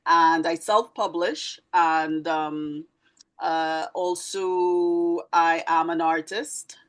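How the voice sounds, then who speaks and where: Lower-pitched Trinidadian woman explaining herself. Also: steady tone, extremely slow, fairly bright tone. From Trinidad and Tobago. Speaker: interview guest, teacher and poet. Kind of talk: conversational interview answers.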